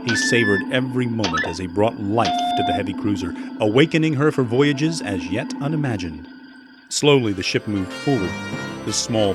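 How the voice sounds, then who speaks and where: A man narrating a scripted science fiction story in a dramatic storytelling style. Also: on the low side. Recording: loud music bed behind narration.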